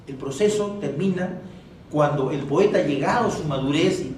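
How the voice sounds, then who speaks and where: A man's voice: on the high side, moderate pace, a little mellow. A man with a Peruvian accent giving an extemporaneous literary speech at a book presentation, in a didactic, ornate manner with rhetorical questions. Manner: ornate, erudite vocabulary. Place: echoey indoor room at a live event. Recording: very echoey room, distant mic, faint noise.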